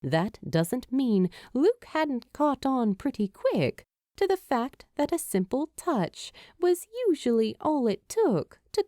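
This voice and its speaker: Female narrator for fiction audiobook: unhurried, soft-edged tone, very dry intimate sound. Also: fairly high pitch, no room sound. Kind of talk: scripted story narration.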